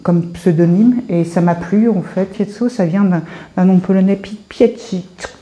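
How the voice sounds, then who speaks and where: A woman in her fifties from France, an artist telling her story in an informal interview in a hesitant, halting, reflective way. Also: mid-range voice, mellow. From France, Paris suburbs. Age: 50s. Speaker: visual artist being interviewed. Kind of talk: informal guided-tour interview.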